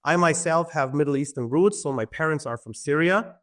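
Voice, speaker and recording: Man, 30s, relaxed podcast guest, light chuckles, fairly high pitch. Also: brisk. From Germany. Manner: easygoing, chuckles often, many fillers. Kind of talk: casual interview talk.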